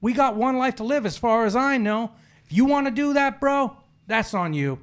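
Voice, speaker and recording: A man's voice: very high-pitched, expressive, moderate pace, smooth. A man in his forties hosting a sports podcast, chatting casually in an opinionated, slangy, storytelling way. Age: 40s. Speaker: podcast host.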